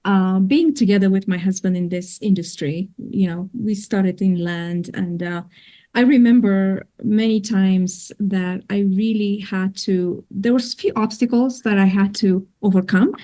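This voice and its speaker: A woman with a foreign accent speaks as a podcast guest, earnest and reflective as she tells her story. Her tone is mellow and dark rather than bright, at a mid pitch for a woman. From grew up in another country. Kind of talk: casual interview talk.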